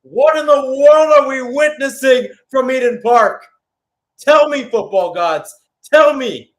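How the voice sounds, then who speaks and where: A man's voice: very high-pitched, slow pace. A man giving live radio-style play-by-play commentary on a football match, with exclamatory phrasing and rhetorical questions.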